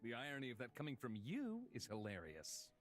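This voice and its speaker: A man voicing an affable, teasing young man in a visual novel, with an expressive delivery. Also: mid-range voice, a little fast. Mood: playful. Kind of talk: visual novel character reading. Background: music.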